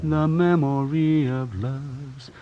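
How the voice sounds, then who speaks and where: A man singing a slow old standard ballad in a crooning style. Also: medium pitch, fairly even intonation. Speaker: singer. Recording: dull vintage music recording with guitar.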